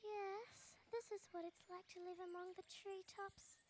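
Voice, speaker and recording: A tiny, sad little possum from a storybook, speaking softly and quietly, as a woman puts it on. Its voice sits very high. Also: level tone, measured pace, slight background noise. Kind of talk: storybook read-aloud.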